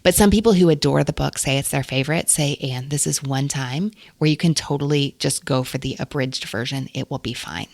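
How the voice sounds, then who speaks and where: A woman's voice: very deep voice, fairly quick, creaky, hardly any pauses, a little mellow, dry recording. A woman hosting a book podcast, talking casually and enthusiastically about books and laughing often. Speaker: podcast host.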